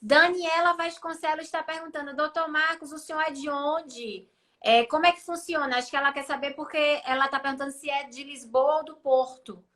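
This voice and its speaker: A woman's voice: high voice, steady tone, brisk, bright, forceful tone. A woman hosting a live stream, reading out viewers' questions to her guest and acknowledging answers briefly.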